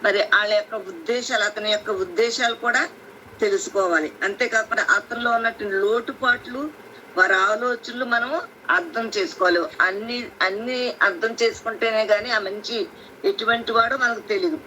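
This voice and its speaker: A woman's voice: fairly high pitch, moderate pace, pushed and bright. A woman teaching from the Bible on a prayer conference call, explaining verses with frequent restarts and repetition. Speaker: preacher. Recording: phone line with faint hiss.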